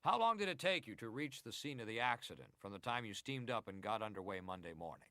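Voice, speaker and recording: An actor playing a senator who questions a witness formally and deliberately in a dramatized historical hearing. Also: male voice, on the low side, lively intonation, sharp tone, dry recording, clean studio sound. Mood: formal, probing. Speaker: actor reading hearing testimony.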